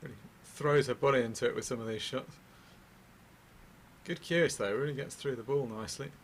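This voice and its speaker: Fast-talking teasing pool co-commentator. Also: male voice, mid-range voice, a little hiss or hum. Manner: quick, teasing, chuckles often. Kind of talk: live sports commentary.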